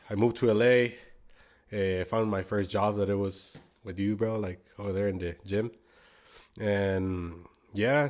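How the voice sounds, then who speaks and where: A man's voice: fairly low pitch, darkish tone. A man in his twenties from Guatemala with a Spanish accent, speaking in a loose, rambling way as a podcast guest, full of "like" and "bro" and with the odd switch into Spanish. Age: 20s. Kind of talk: casual interview chat.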